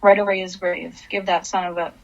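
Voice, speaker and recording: A woman's voice: fairly low pitch, little pitch movement, a little fast, gravelly, dull audio, noisy background. An actress playing a grief-crazed, vengeful mother in a radio play, intense and commanding. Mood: cold, menacing. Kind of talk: dramatic radio play acting with narration.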